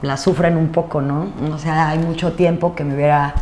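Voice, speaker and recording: A Mexican woman in her thirties chatting casually as an interview guest, informal, with drawn-out words and pauses. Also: deep voice, fairly even intonation, darkish tone. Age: 30s. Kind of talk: casual chat. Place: indoor studio set at a desk. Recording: roomy sound, noticeable background noise.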